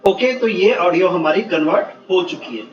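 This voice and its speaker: A man giving step-by-step software instructions, mixing in English technical words. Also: sits high, expressive, normal speed. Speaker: software tutorial presenter. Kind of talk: step-by-step screen tutorial. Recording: muffled, roomy, distant mic, faint music.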